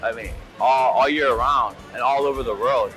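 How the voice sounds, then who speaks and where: A man's voice: medium pitch, normal speed, over music, rough recording. A man speaking earnestly and casually about a community event. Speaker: festival-goer.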